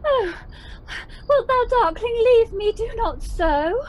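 High, swooping lovesick young noblewoman played by an actress. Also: animated, slow, darkish tone, a little hiss or hum, exaggerated voice. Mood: pleading. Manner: theatrical, indignant, swooping. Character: lovesick, self-pitying young noblewoman, easily affronted. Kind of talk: Shakespearean radio play acting.

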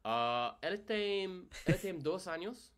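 Hesitant young man in lively clip. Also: high-pitched, slow pace, clean tone. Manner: hesitant, searching for words, lots of fillers. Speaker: language-learning vlogger. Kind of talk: halting beginner Portuguese mixed with English. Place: coffee shop, then video call at home.

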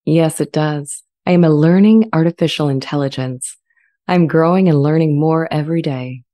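A woman playing a gentle, thoughtful sentient AI in a dramatized reading, with a calm, measured and reflective delivery. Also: deep voice, close to an everyday voice. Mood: serene, thoughtful. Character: sentient female-voiced AI, gentle, serene and thoughtful. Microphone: large studio condenser mic up close.

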